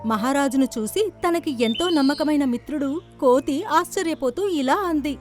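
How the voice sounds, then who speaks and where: High-pitched woman narrating children's stories. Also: normal speed. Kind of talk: scripted children's story narration. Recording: music bed behind the voice.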